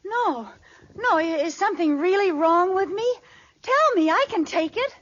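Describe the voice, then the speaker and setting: An actress playing a scheming but gullible wife in a 1940s radio comedy, theatrical and wide-eyed. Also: female voice, very high voice, animated, unhurried, muffled recording, full character voice. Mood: alarmed, gullible. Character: scheming wife feigning illness, growing gullible and alarmed. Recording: noisy old radio recording, loud studio audience.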